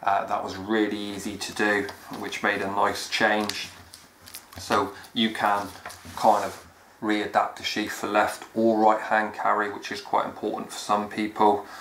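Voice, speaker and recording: A man's voice: fairly low pitch, completely flat delivery, a little slow, fairly bright tone. A man giving a plain-spoken, enthusiastic review of a knife in a casual chatty way. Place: indoors at a wooden table. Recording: echoey room with faint background noise.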